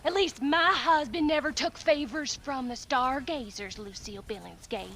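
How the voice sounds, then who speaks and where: A woman's voice: high voice, normal speed, a bit bright, a little hiss or hum. An actress playing a prim, haughty frontier townswoman firing back cutting retorts.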